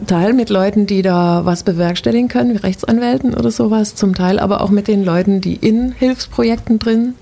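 A woman who is a guest on a radio show, speaking in a thoughtful, measured way with pauses. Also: mid-range voice, creaky, mellow, a little hiss or hum. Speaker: studio guest. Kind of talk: casual radio interview.

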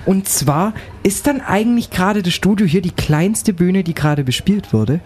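Male radio host, higher voice, brisk questions. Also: animated, a little mellow, slight background noise. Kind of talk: radio interview.

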